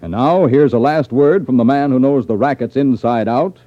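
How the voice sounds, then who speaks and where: A man's voice: medium pitch, moderate pace, over loud music. An American man reading scripted announcements as a 1950s radio announcer. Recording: muffled 1950s radio broadcast recording.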